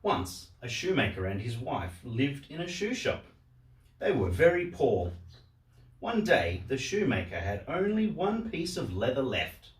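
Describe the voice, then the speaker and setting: A man reading a storybook aloud for children in a simple, deliberate way. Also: medium pitch, very animated. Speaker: storyteller, host of a story channel. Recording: roomy echo, distant microphone.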